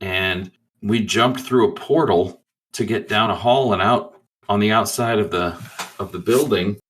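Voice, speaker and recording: A man roleplaying in a tabletop game, telling his story in a halting, laid-back, profane way. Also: on the low side, fairly bright tone. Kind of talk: casual in-character storytelling.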